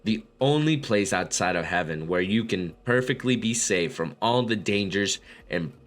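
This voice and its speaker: A man's voice: on the low side, animated, moderate pace. A man reading a book aloud as a read-along host, with informal, slangy commentary. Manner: profane, informal asides with bro slang.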